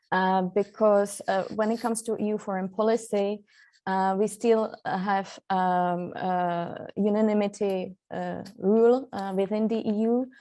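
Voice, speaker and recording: A woman's voice: mid-range voice, warm tone. A woman from Central Europe speaking as a guest expert on a webinar panel over a video call, with many fillers and restarts.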